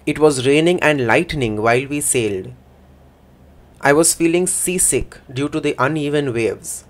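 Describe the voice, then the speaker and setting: A man voicing a first-person interpretation for a signing speaker in a plain, measured way. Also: on the high side.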